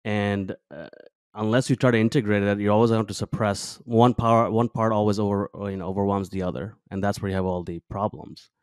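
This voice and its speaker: A man's voice: lowish voice, fairly even intonation, fairly quick, a little mellow, clean tone, no echo, studio quality. A man in his thirties from Bangladesh, a podcast guest talking reflectively, searching for words with many fillers and restarts. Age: 30s. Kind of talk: reflective interview talk. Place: small room with plant and guitar on wall. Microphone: headset with studio mic on arm.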